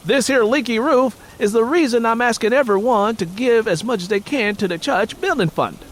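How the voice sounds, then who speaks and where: A man's voice: very high-pitched, normal speed, slight background noise, pitched above the performer's own voice. A man voicing a zealous country preacher who earnestly exhorts his congregation in an audiobook story. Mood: exhorting.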